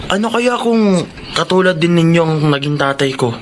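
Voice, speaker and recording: Young co-worker, male, respectful and wistful, higher register, radio drama over noisy background played by a man. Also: lively intonation, normal speed, natural voice. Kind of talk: Filipino radio drama acting.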